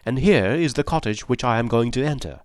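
A man's voice: mid-range voice, expressive, brisk, no echo, studio quality, lightly acted. A man reading aloud and voicing an agitated husband who talks in a raised, stern, insistent voice. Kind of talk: audiobook character voice.

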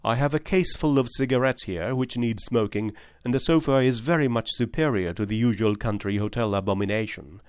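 A man reading aloud, voicing a keen, cool-headed detective who speaks calmly with dry assurance. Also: mid-range voice, brisk, hardly any pauses, clear, resonant tone, no room sound, natural voice. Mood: calm assured. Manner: calm, dryly assured.

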